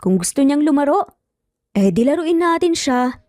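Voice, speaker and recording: A stern, protective father played by a woman narrator, speaking firmly and with measure. The voice is mellow and fairly high, recorded dry for an audio story. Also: lively intonation, no room sound, soft background music. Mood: determined. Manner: cold, firm, measured.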